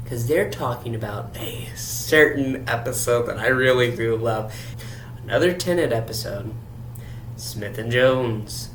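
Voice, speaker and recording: Male solo reviewer, slow and stammering, earnest delivery, roomy hum-laden recording. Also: medium pitch. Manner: halting, with stammered repeats. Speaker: host reviewing an audio drama. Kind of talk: solo review and episode recap. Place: echoey room away from usual setup. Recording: roomy echo with clear background hum.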